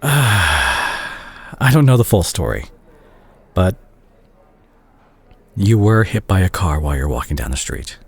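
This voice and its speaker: An actor playing a remorseful, caring doctor and ex-boyfriend in an intimate audio roleplay, speaking to the listener in a halting, confessional way. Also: male voice, sits low, sing-song, creaky, husky, exaggerated voice. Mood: gentle, grave.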